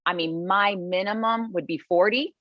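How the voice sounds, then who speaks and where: A woman in her forties speaks plainly and with emphasis in a casual podcast chat. Her pitch is mid-range. The sound is dry and slightly dull. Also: normal speed, no echo. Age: 40s. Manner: frank and emphatic. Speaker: guest.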